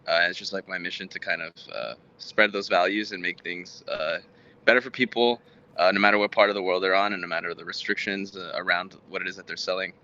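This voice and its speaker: An American man in his twenties, a native Spanish speaker from Texas, answering interview questions in a halting, rambling way with stammered repeats. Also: low-pitched, fairly even intonation, bright, forceful tone, muffled recording. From Houston, Texas, United States. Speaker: guest, tech startup founder.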